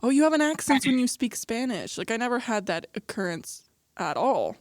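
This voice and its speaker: A woman from the United States hosting a podcast, talking in an animated way with lots of "like". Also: fairly high pitch, normal speed, no echo. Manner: animated, says "like" often. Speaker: podcast host. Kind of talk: casual podcast chat.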